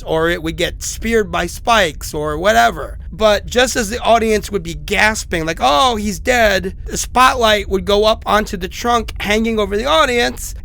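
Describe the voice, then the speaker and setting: Rambling, gravelly isolated man, played by an actor. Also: high voice, a bit bright, heavily acted. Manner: halting, informal, confiding, rambling. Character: isolated, sick, rambling man enduring supernatural peril. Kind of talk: horror audio drama, answering-machine messages.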